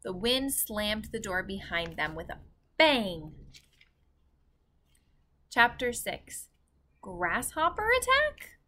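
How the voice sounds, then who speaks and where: A woman's voice: medium pitch, big pitch swings, slow pace, pauses often, pushed and bright. A woman reading a children's chapter book aloud as a teacher would. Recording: faint background noise.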